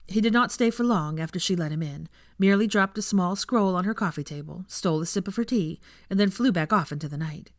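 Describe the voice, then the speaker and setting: A woman reading fan fiction aloud as scripted, expressive story narration. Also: fairly low pitch, a little fast, hardly any pauses, dry recording, clean studio sound.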